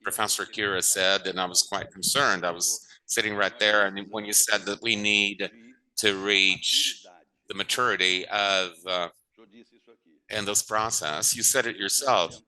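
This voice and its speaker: A man interpreting a conference panel talk, halting often and restating phrases as he goes. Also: lowish voice, steady tone, bright, forceful tone, rough recording.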